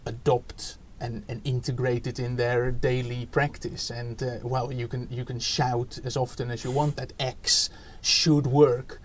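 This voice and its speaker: A man's voice: medium pitch, little pitch movement, measured pace, hardly any pauses, slight background noise. A man in his forties from the Netherlands with a Dutch accent, answering interview questions in a thoughtful way, with many fillers and restarts. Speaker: education researcher guest. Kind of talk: podcast interview.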